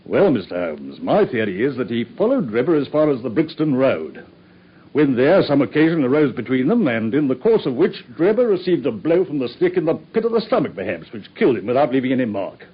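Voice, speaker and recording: An actor playing a self-satisfied male police inspector in a radio drama, smug and husky. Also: medium pitch, sing-song, fairly quick. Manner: smug, pleased with himself. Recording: dull old radio recording.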